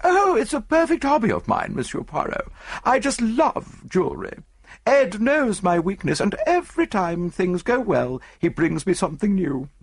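Man as a gushing high-pitched society lady. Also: wildly swooping pitch, normal speed, gravelly, slightly rough, full character voice. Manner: effusive, gushing.

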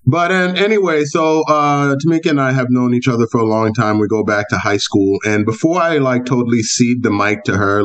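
A man hosting a podcast, chatting casually and telling stories with playful asides and lots of fillers. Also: on the low side. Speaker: podcast host. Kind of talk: casual interview conversation.

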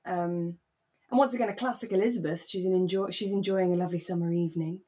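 A woman co-hosting a podcast, recapping in relaxed, conversational chat and agreeing readily. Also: on the low side, quick pace, breathy edge. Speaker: podcast co-host. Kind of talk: relaxed, casual chat recapping a radio drama.

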